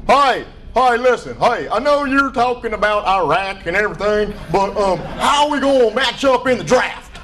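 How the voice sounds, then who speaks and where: A man's voice: sits high, animated, moderate pace, fairly bright tone, slight background noise, pitched above the performer's own voice, low-quality recording. A man voicing an eager, polite football fan calling a radio show, as a bit in stand-up comedy. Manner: polite, eager, rambling questions. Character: eager football-obsessed radio call-in listener.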